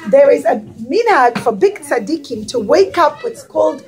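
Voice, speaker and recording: Female children's teacher, high voice, expressive interactive storytelling, speaks directly to young listeners. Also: normal speed.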